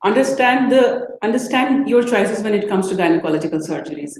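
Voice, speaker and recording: A woman doctor hosts a live medical interview at a mid pitch. She asks her questions with fillers and repeated phrases. The room sounds echoey, with a faint hiss underneath. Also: expressive, a little fast, darkish tone. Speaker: host and general practitioner.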